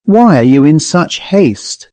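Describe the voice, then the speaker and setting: A man's voice: a little high, big pitch swings, slow pace, warm tone, no room sound, voice pushed up. Man voicing a quavering, lonely old woman ghost. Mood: plaintive. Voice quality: quavering, whistling. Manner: doleful, wheedling.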